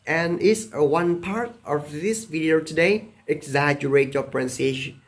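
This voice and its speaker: A young man from Vietnam with a Vietnamese accent, talking casually to camera about learning English, exaggerating his pronunciation and tagging lines with "okay?". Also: a little high. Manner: deliberately exaggerated, emphatic pronunciation; frequent "okay?" tags and "uh" fillers. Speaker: English-learning video creator.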